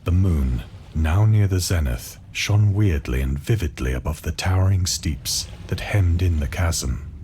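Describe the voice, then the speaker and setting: A man acts as a haunted scholar narrating a visual novel horror tale in a deep, low voice. His delivery is formal, measured and full of grave dread. A faint noise sits behind the recording. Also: animated, mellow. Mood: uneasy fascination. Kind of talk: dramatic scripted horror narration.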